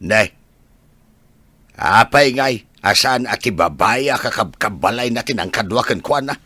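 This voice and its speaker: A man acting a gruff, suspicious old husband and father in a Filipino audio drama. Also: on the low side, lively intonation, unhurried, bright, forceful tone, dry recording, full character voice. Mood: irritated.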